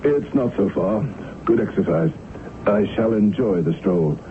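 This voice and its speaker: An actor playing a gallant, ardent retired sea captain in an old radio drama, with courtly, formal phrasing. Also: male voice, lowish voice, animated, measured pace. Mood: jaunty, confident. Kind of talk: period radio drama acting. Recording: very noisy, tinny old radio recording.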